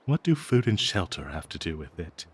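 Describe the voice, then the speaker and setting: A man voicing a bloodthirsty, doting cursed dagger in an audio roleplay, with theatrical, halting, gushing talk full of endearments. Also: deep voice, big pitch swings, husky, voice pushed down, full character voice. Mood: fawning, hesitant. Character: obsessive cursed talking dagger, bloodthirsty, doting, giddy, fawning.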